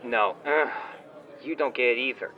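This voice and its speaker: A man's voice: mid-range voice, moderate pace, lightly acted. A man playing a smug, entitled online shop owner on a phone call in a comedy audio drama, whiny, condescending and petulant in casual slang. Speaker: caller, complaining customer.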